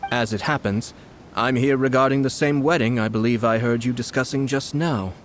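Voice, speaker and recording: An actor playing a courteous noble commissioner. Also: male voice, mid-range voice, fairly even intonation, a little hiss or hum, lightly acted. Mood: calm. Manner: polite, formal, measured. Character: young refined male noble commissioner, courteous. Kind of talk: game voice acting.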